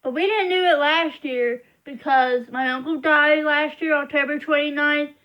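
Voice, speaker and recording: A woman's voice: high voice, steady tone, normal speed, highs cut off. A young woman vlogging to camera, rambling as she complains in frustration. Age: young adult. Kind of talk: casual venting monologue.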